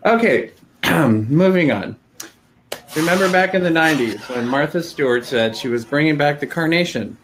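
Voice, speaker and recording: A man's voice: on the high side, lively intonation, moderate pace, slight background noise. A man co-hosting a live-stream chat show, giving an informative rundown of products with many ums. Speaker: regular co-host, flower specialist.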